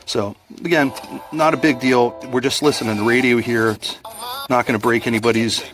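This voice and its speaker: A man giving a casual, unscripted product review in a plainspoken, measured, explanatory way. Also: medium pitch, fairly even intonation, over music, rough recording.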